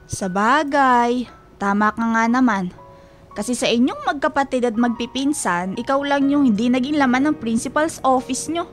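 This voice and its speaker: High-voiced chatty storekeeper auntie played by an actress. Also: female voice, normal speed, soft background music, close to an everyday voice. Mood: fond, chatty. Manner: familiar, teasing, motherly chatter. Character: chatty, teasing older married woman storekeeper. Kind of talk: Filipino radio drama acting.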